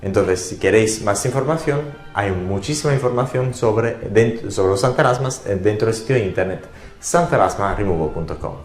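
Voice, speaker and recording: Italian born guy talking aesthetics biz, kinda low voice, lots of ehs, echoey room w/ faint music. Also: lively intonation, normal speed. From Italy. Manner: hesitant, many fillers and restarts. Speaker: aesthetics business founder. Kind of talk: promotional talk and interview.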